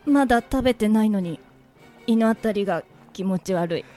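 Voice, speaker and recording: A woman playing a sharp-tongued, wryly fatalistic young woman in a comedy radio drama. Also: medium pitch, moderate pace, close to an everyday voice. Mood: gloomy dread. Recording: long reverb with background music.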